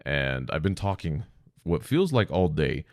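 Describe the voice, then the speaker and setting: A deep-voiced man rambles through his own podcast, stuffy and congested with a slightly sore throat. The sound is close and dry with no room around it. His tone is loose and self-deprecating, and he swears now and then. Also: very animated, measured pace, no echo. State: sore throat from long talking. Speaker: podcast host. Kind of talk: rambling solo podcast talk.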